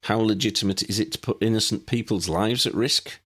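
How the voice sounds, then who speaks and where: A man's voice: lowish voice, a little fast, a bit bright, voice pushed up. A man voicing an earnest, sensible young woman witch with a precise, insistent manner in an audiobook. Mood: argumentative.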